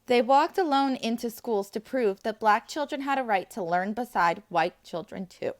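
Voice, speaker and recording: A woman's voice: on the high side, expressive, normal speed. A woman preschool teacher reading aloud to young children, enthusiastic, with friendly asides to her little listeners.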